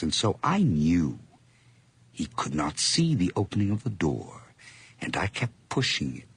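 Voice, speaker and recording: An actor playing a feverish male murderer who narrates his crime in a 1980s radio drama, whispering low, then breaking into high, gleeful outbursts. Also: creaky, slightly rough, exaggerated voice.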